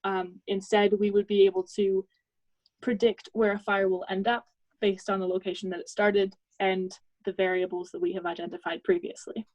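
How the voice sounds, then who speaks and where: A woman's voice: mid-range voice, level tone, normal speed, darkish tone, no echo, low-quality recording. A young woman giving a prepared student project presentation.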